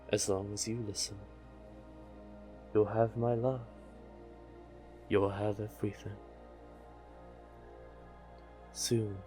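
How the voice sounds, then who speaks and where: A man's voice: fairly low pitch, warm tone. A man voicing a doting, possessive, manipulative male sea witch in an intimate audio roleplay, coaxing the listener tenderly. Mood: hypnotic, coaxing. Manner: coaxing, unhurried, tender with pet names.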